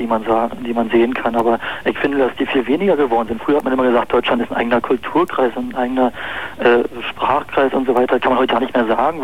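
A man's voice: mid-range voice, breathy edge. A young man with a Berlin accent calling in to a radio show, talking hesitantly with many fillers. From East Berlin. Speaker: caller. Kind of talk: phone-in chat. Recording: muffled phone-in radio line.

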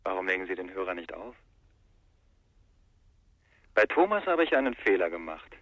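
An actor playing a menacing extremist who makes cold, taunting phone threats in a radio crime drama. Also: male voice, low voice, sing-song, moderate pace, no echo, lo-fi sound. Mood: cold menace.

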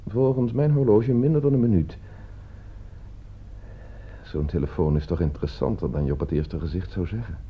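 A proud, exacting man in a radio play. The voice is fairly low and clean, with wide swings in pitch. The recording is slightly dull and lo-fi. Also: expressive, moderate pace, long pauses, slightly rough, full character voice. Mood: cool, self-assured. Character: self-assured man named Loimu who proves his point, turning rattled.

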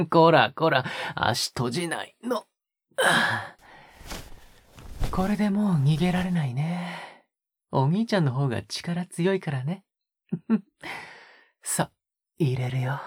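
A man playing a possessive, teasing older brother in an audio drama, coaxing softly in a husky, breathy, often whispered voice. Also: fairly high pitch, expressive, slow, pauses often, no echo, full character voice. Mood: teasing, controlling.

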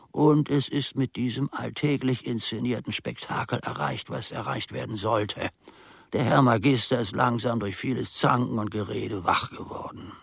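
A man's voice: mid-range voice, creaky, slightly rough, no echo, studio quality. A man playing an aggrieved, world-weary old manservant in a radio drama, telling his story wryly and theatrically in quaint, clipped phrases. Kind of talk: monologue radio drama acting.

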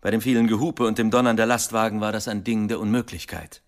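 An actor playing a factual police inspector in a radio play, reporting in a matter-of-fact, official manner. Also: male voice, on the low side, steady tone, quick pace, lightly acted. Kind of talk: German radio play acting.

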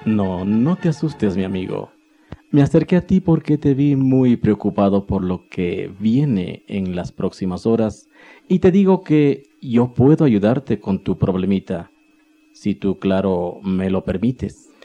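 An actor playing a sly, smooth-talking devil who tempts a man in a radio drama, wheedling and theatrical with sinister laughter. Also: on the low side, lively intonation, unhurried, mellow, highs cut off, soft background music. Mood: coaxing.

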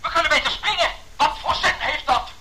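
A man's voice: very high voice, brisk, noisy background. A man playing a desperate aircrew member in a doomed plane in a radio drama, calling out urgently over a radio link. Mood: panicked. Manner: urgent, alarmed exclamations.

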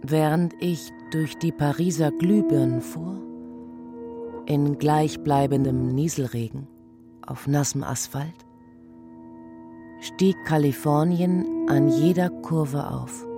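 A woman performing a poetic radio drama recitation in a deliberate, contemplative manner. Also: sits low, fairly even intonation. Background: music.

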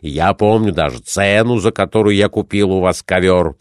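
A man voicing a blunt, suspicious merchant in a storybook reading, questioning in a measured, pointed and persistent way. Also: deep voice, no echo, studio quality, close to an everyday voice. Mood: suspicious, probing.

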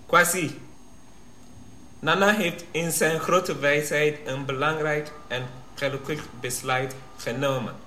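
A man's voice: fairly high pitch, fairly even intonation, takes it slowly, bright, forceful tone. An actor playing the solemn spokesman of an Ashanti king in a radio drama, formal and ceremonious. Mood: solemn, proclaiming. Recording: roomy sound with faint noise.

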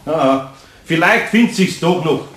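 An actor with a Bavarian accent playing a kindly, patient older master craftsman in a children's radio play, fatherly and gently admonishing. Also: fairly high pitch, animated, a little slow. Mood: kindly, consoling.

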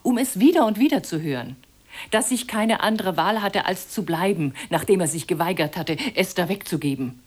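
An actress playing a weary, commanding well-to-do wife and hostess in a radio play, with a controlled, strained manner. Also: female voice, lowish voice, animated, normal speed. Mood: bitter, exasperated.

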